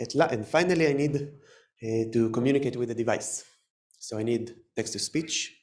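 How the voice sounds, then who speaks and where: A man with an Israeli accent giving a live technical talk, halting and full of fillers and restarts. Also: medium pitch, darkish tone. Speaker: tech presenter. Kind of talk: live conference talk with demo.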